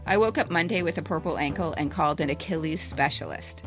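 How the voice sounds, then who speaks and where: A woman's voice: deep voice, fast talker, faint music behind. A woman in her fifties, a podcast co-host, speaking in a candid, direct way as a personal storyteller. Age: 50s. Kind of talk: scripted personal essay narration, then casual banter.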